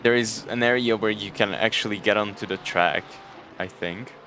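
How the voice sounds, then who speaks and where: A man's voice: on the low side, normal speed, pushed and bright, a little hiss or hum. A man from Hungary with a Hungarian accent, casually commentating a sim racing stream and chatting with viewers. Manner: chatty, jokes and thanks chat viewers. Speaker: race commentator.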